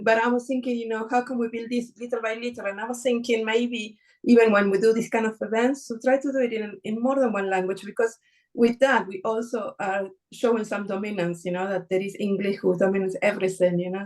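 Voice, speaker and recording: A woman's voice: medium pitch, a little mellow. Woman from Argentina, workshop participant, earnest, filler-heavy. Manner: frequent "you know", earnest. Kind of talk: casual discussion contribution.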